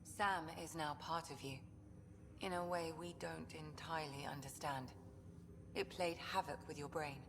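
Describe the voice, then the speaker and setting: A woman's voice: sits low, steady tone, unhurried, in a large hall, background noise. A woman playing a caring, authoritative ship's doctor in a video game cutscene. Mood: grave, explaining.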